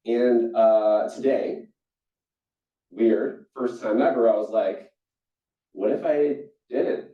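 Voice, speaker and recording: A young man from Singapore giving a keynote talk, telling stories in a lively way with many restarts. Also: slow pace, long pauses. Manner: storytelling, animated with jumps in pitch and frequent restarts. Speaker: keynote speaker. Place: conference hall. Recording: echoey hall, distant and dull.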